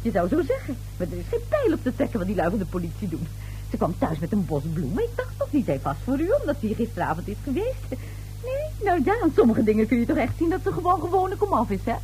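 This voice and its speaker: A woman's voice: a little high, huge pitch swings, fast talker, warm tone, soft background music, low-quality recording. An actress in a radio play voicing a chatty, self-satisfied female neighbour who prattles and gossips indignantly. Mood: gossipy. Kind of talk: radio drama acting.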